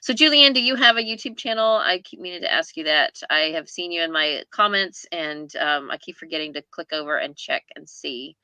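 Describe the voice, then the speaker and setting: A woman's voice: deep voice, brisk, few pauses, sharp tone, smooth, no room sound, low-quality recording. A woman hosting a casual group book chat, talking in a chatty, playfully teasing way. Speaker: host of a book chat livestream. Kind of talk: casual chat, reading viewer comments. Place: indoors on a group video call.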